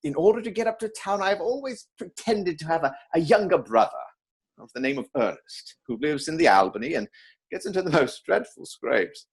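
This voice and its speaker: A man playing an earnest, easily exasperated young English gentleman in a stage comedy reading, stuffy and emphatic. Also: fairly high pitch, expressive, a little fast, husky. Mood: confessional, stiff.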